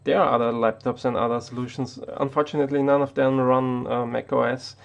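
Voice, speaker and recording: A man's voice: medium pitch, level tone, a little fast, creaky, highs cut off. A man from Austria, not an English speaker, talking unscripted to the camera as a tech YouTuber, hesitant with many restarts.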